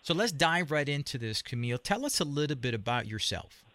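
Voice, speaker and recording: A man's voice: mid-range voice, sing-song, brisk, bright, forceful tone. Rambling male talk show host. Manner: rambling, hesitant questions. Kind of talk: interview hosting.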